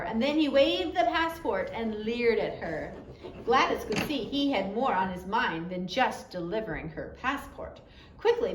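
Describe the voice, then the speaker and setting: A woman reads a story aloud in a fairly high voice. The recording is quite echoey, with faint noise in the background. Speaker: audiobook reader. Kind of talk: storybook narration, read aloud.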